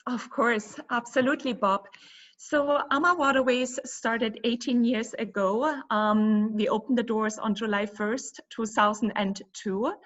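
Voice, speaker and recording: A woman's voice: a little high, steady tone, measured pace, a bit bright. A German-accented woman who owns a cruise company answers interview questions, enthusiastic and fluent, with frequent fillers. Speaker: river cruise company co-owner. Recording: muffled lo-fi audio.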